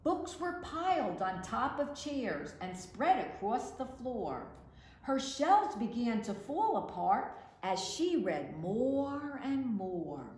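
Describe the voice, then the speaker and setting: Lady reading a kids book out loud, real slow and animated, kinda echoey room. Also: mid-range voice. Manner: expressive, deliberate storytelling delivery. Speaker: children's librarian, storytime reader. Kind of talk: reading picture books aloud to children. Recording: roomy echo with faint background noise.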